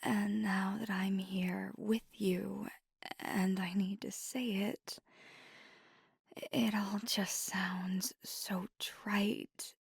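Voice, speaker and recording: A woman playing a nervous, tender vampire girlfriend in an intimate ASMR roleplay, whispering breathily and haltingly with earnest devotion. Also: mid-range voice, creaky, slightly rough, no room sound, studio quality, full character voice. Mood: nervous, apologetic. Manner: hesitant, halting, earnest delivery.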